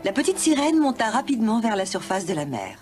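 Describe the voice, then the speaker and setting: A woman storyteller giving scripted fairy-tale narration for a children's animated film. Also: a little high, brisk, music in the background.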